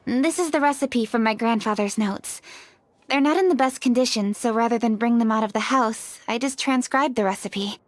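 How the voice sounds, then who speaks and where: An actress voicing a proper, principled young female official who speaks crisply and a little primly. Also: a little high, normal speed, dry recording, close to an everyday voice. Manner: crisp, upright, slightly prim. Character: brisk, proper young woman official, principled and a bit flustered.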